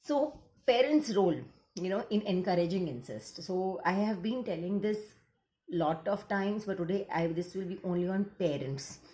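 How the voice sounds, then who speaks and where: A woman's voice: medium pitch, moderate pace, dark tone, reverberant, poor audio. A woman counseling psychologist with an Indian accent, Gujarati her first language, talking earnestly to camera and slipping into Hindi mid-sentence. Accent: Indian English. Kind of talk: casual talk to camera.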